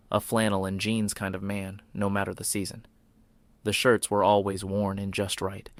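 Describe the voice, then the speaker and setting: A man narrating a scripted suspense story in a brooding, deliberate manner, with short dramatic phrases. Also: on the low side, dry recording.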